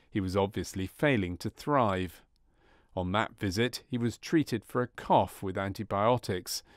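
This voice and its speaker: Guy with a fairly low voice doing formal measured documentary voiceover for a medical training film. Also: dry recording, clean studio sound. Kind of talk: scripted documentary narration.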